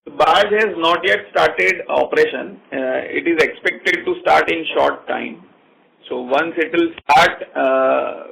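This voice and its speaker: Creaky, higher-pitched Indian businessman. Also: fairly bright tone, rough recording. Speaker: company executive. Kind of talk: earnings call question-and-answer.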